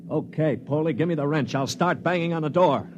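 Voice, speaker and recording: An actor playing a cynical, weak-handed captive aboard a spaceship in a 1950s radio drama, with dramatic delivery. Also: male voice, a little high, a little fast, background noise, natural voice. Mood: puzzled, resolute. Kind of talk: 1950s radio drama acting with first-person narration. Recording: muffled 1950s radio recording with music.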